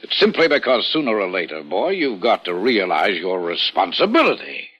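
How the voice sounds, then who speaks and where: Pompous guardian, blustering, sharp emphatic voice, old radio drama, dulled vintage sound. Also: male voice, sits low, huge pitch swings, moderate pace, breathy edge, soft background music, full character voice. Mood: indignant, preaching. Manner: blustering, emphatic, repeats himself for effect. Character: pompous, scheming, domineering rich uncle and guardian. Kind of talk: 1940s-50s American radio acting. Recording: muffled vintage radio recording.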